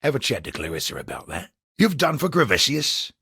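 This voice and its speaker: Gravelly, sly fantasy smuggler. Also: male voice, mid-range voice, very animated, brisk, bright, forceful tone, no echo, studio quality, heavily acted. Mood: sly, sardonic. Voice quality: gravelly, raspy. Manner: colloquial working-class speech, sly and sardonic. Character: roguish, wry male smuggler and fixer in a fantasy city. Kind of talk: in-game character dialogue.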